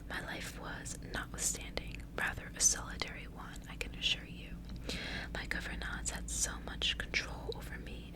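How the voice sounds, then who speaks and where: A woman reads literary prose aloud for ASMR, entirely in a whisper. Her delivery is breathy and unvoiced, a storyteller's narration kept hushed throughout. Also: poor audio. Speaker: ASMR creator reading a gothic novel aloud. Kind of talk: whispered ASMR audiobook reading.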